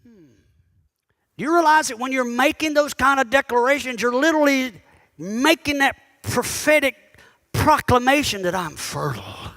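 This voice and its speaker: Male pastor, very high voice; chatty sermon that prods the congregation with questions. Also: lively intonation, moderate pace, sharp tone. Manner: conversational preaching that calls out to the congregation; asks the listeners pointed questions. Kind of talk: sermon teaching.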